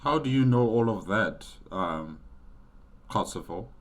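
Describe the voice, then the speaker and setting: A man giving casual reaction commentary as host, halting as he thinks aloud. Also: fairly low pitch, animated, slight background noise.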